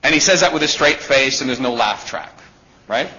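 A man with a loud voice giving a live talk to an audience, emphatic and peppering his points with "right?". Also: mid-range voice, expressive, moderate pace. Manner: emphatic, with rhetorical "right?" checks. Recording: dull lo-fi recording.

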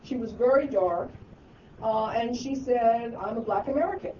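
A woman's voice: a little high, moderate pace, warm tone. A woman who teaches, speaking earnestly in a group discussion and rambling through many fillers. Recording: echoey distant dull mic with noise.

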